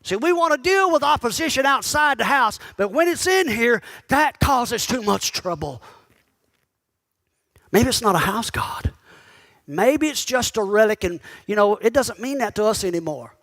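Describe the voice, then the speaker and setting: Male pastor, very high voice; chatty sermon that prods the congregation with questions. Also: expressive, moderate pace, fairly bright tone. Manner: conversational preaching that calls out to the congregation; asks the listeners pointed questions. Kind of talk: sermon teaching.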